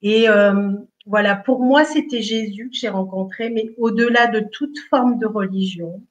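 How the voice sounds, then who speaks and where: A middle-aged woman from Italy speaking as a spiritual healer in an online workshop, slow and hesitant with many fillers. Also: medium pitch, dull audio.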